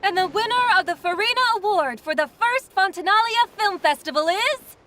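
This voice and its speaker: An actress playing a showy, theatrical awards ceremony announcer in a video game, proclaiming to the room. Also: female voice, extremely high pitch, animated, moderate pace. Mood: ceremonial, excited.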